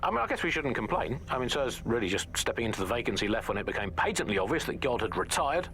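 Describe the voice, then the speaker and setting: A British man as a wry pirate radio host, with very lively intonation. He banters satirically in mock enthusiasm, restarting his sentences as he goes, over faint background noise. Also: medium pitch, fast. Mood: sardonic.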